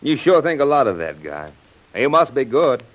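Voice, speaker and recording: An actor playing a plain-spoken, curious American adventurer with a colloquial manner, in 1940s radio style. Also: male voice, mid-range voice, sing-song, moderate pace. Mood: curious, impressed. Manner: folksy, colloquial, questioning. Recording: dull, band-limited old radio recording.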